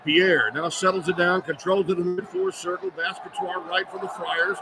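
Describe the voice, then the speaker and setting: A man calling live basketball on the radio in clipped running phrases, getting excitable on big plays. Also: high-pitched, brisk, crowd noise. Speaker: play-by-play radio announcer. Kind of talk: live basketball play-by-play commentary. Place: courtside at a large indoor arena. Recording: loud arena noise behind the voice. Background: loud game ambience.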